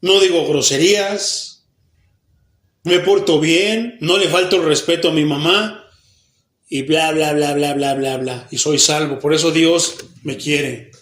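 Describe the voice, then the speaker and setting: A Mexican pastor with a fairly high voice leads a Bible study in a church hall where his words ring off the walls. His Mexican Spanish accent is plain, and he fires questions at the congregation, then answers them himself. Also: takes it slowly. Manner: acts out the people he quotes, even mock babbling.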